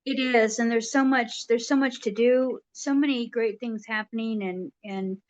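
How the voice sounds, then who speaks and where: A woman's voice: on the high side, fairly even intonation, normal speed, no room sound, lo-fi sound. A woman hosting an interview show, asking her guest questions in a friendly, upbeat way. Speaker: show host.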